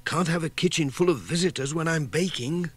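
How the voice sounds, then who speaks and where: A man's voice: fairly high pitch, brisk, husky, no echo, pitched above the performer's own voice. A man voicing a kindly, flustered countrywoman, a busy homely housewife full of exclamations, in a children's storybook reading. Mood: flustered, pleased.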